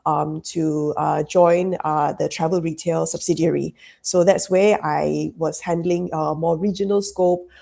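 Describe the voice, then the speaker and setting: A woman's voice: deep voice, vocal fry, dark tone. A woman, a consultant answering interview questions hesitantly, with many fillers. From Malaysia. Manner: hesitant, full of "um" and "you know, like" fillers. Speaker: business consultant, guest.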